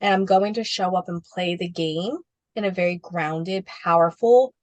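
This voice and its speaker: A woman's voice: on the low side, normal speed, no room sound. A woman guest in a casual interview, talking animatedly with lots of fillers and playful asides. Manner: animated, heavy use of "like", jumps into playful quoted voices. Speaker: interview guest, spiritual business coach.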